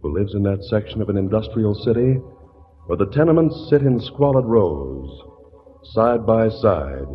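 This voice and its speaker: An actor as a male announcer on an old radio mystery show, speaking in a theatrical, deliberate style. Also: fairly low pitch, very animated. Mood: dramatic, ominous. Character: dramatic, smooth-talking radio show announcer. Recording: muffled old radio recording, loud organ music.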